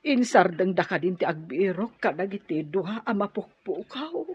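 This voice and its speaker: A woman's voice: medium pitch, lively intonation, normal speed, a little mellow, slightly rough. A woman playing an anguished middle-aged mother in a radio drama, plaintive and given to exclaiming over her missing son. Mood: sorrowful. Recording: dull lo-fi radio drama audio.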